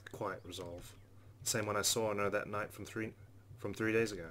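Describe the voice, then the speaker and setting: A man's voice: lowish voice, fairly even intonation, brisk, fairly bright tone, a little hiss or hum. A man hosting a gameplay video, reading visual novel narration expressively and adding casual asides. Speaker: let's play host reading a visual novel aloud.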